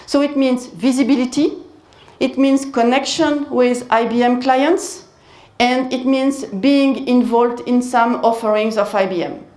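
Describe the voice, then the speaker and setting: Woman giving a business talk on a mic, kinda high voice, repeats her points, big echoey hall. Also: steady tone, darkish tone. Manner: measured, repeats key phrases. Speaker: conference speaker. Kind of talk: business presentation with Q&A. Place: conference hall. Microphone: handheld mic.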